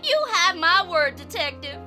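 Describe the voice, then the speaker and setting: A woman's voice: extremely high pitch, big pitch swings, measured pace, sharp tone, faint music behind, full character voice. A woman playing an eager, hopeful young detective's apprentice in a radio drama, earnest in manner.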